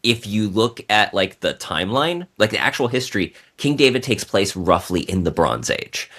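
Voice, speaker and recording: Low-voiced man in casual conversation. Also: very animated, normal speed, fairly bright tone. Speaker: podcast guest, novelist.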